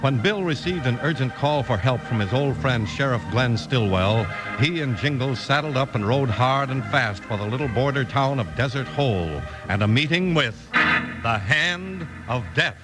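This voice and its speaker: A man's voice: mid-range voice, expressive, normal speed, low-quality recording. An American man announcing in an emphatic, polished 1950s radio style, reading scripted sponsor copy and narration. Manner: emphatic, polished sales and story delivery. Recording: vintage radio broadcast with music. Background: music.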